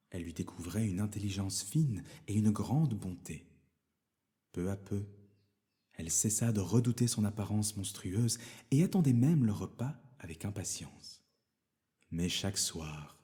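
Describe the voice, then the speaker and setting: Guy reading a fairy tale, slow n deep, expressive storyteller vibe. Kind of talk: scripted fairy tale narration.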